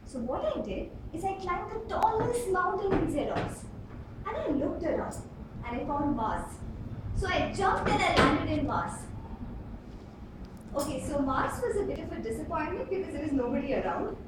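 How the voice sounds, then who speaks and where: A woman giving a rehearsed storytelling speech at a club contest, with an emphatic, theatrical delivery and dramatic pauses. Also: high-pitched, very animated. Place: indoor club meeting room. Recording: roomy, distant mic with clear background noise.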